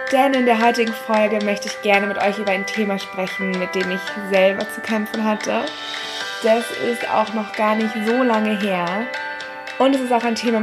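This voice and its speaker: A young woman with a hoarse, scratchy voice, hosting a podcast as a pharmacist and explaining things step by step in a casual, friendly way. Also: mid-range voice.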